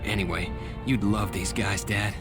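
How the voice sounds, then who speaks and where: An actor playing a weary, earnest young American Marine in a war game, narrating a letter home. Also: male voice, fairly low pitch, flat delivery, measured pace, lightly acted. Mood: fond, reflective. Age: young adult. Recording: music playing behind the voice. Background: music.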